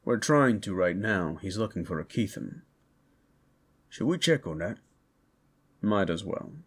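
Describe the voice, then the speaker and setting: A man's voice: low voice, expressive, measured pace, fairly bright tone, slightly rough, full character voice. A man playing an easygoing werewolf companion in a fantasy audio drama, with a casual, conversational delivery. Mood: matter-of-fact.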